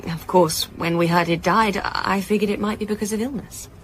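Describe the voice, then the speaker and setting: A woman's voice: mid-range voice, fairly even intonation, normal speed, a little hiss or hum, lightly acted. An actress playing a chatty, wry female publishing executive, candid and joking in a hesitant conversational way, in a naturalistic radio drama. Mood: candid, dry. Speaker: actor in audio drama.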